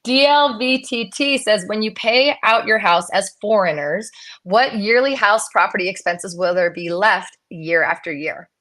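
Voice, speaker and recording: A woman's voice: medium pitch, measured pace, fairly bright tone. A woman hosting a live Q&A in a casual, chatty and playful way, with a promotional streak. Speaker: host of a live real estate Q&A.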